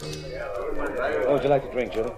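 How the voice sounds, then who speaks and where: An actor playing a confident, breezy male amateur detective in an old radio mystery, with a husky, slangy, assured delivery. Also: mid-range voice, expressive, unhurried, over loud music, close to an everyday voice. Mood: brisk, probing.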